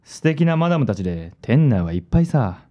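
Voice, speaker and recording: A man playing a suave, vain young ladies' man in a radio drama, with smooth, affected phrasing. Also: mid-range voice, big pitch swings, brisk, mellow, natural voice. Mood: suave, smug.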